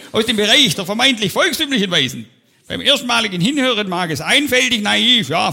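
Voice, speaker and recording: A man playing a pompous speechmaker, bright sharp tone, creaky. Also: high voice, full character voice. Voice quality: pompous, convoluted, self-important. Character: pompous long-winded male speaker, self-important. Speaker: comedian on stage. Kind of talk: parody of a pompous official speech. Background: audience laughter.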